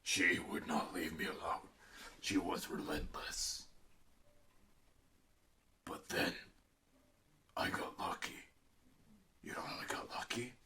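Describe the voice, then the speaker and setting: A man voicing a bitter, unhinged undead killer animatronic father telling an ASMR bedtime story, gravelly and theatrical, moving between menacing murmurs and outraged outbursts. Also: low voice, takes it slowly, pauses often, pushed and bright, heavily acted. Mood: bitter, quiet.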